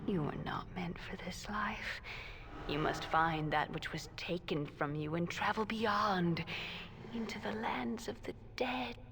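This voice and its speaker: A low, very slow female ghost whispers solemn, foreboding words with tender sorrow. The breathy game voice sits in clearly noisy ambience. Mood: mournful tenderness. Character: sorrowful, loving female ghost, prophetic and ethereal. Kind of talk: video game voice acting.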